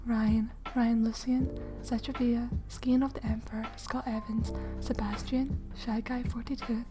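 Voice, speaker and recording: High-voiced woman, soft ASMR host, over music. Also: level tone, measured pace. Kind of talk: soft-spoken ASMR channel outro.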